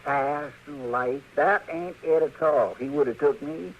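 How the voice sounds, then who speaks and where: An actor playing a lazy, dreamy frontier deputy with a rural American drawl in a radio western, folksy and hemming. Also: male voice, on the high side, a little slow. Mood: folksy, self-important. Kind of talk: 1950s radio western acting. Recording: dull, noisy old radio recording.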